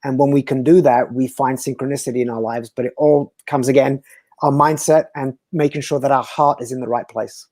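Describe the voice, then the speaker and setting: A man from Belgium, a guest speaker talking earnestly and philosophically in slow, emphatic phrases. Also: medium pitch, fairly even intonation, darkish tone, dry recording. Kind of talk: reflective conversational talk.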